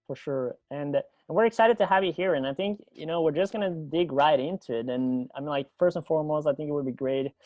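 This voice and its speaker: A man's voice: fairly high pitch, fairly quick, few pauses, darkish tone, no echo, dull audio. A man hosting a podcast, interviewing in a casual, chatty and slightly rambling way. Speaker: podcast host.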